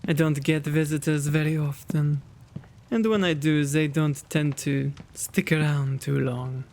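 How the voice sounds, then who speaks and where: A man playing a kind, quaint gentleman vampire in an ASMR roleplay, with genteel, old-fashioned, fussy diction and a creaky voice. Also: a little high, fairly even intonation, unhurried. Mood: genial, chatty.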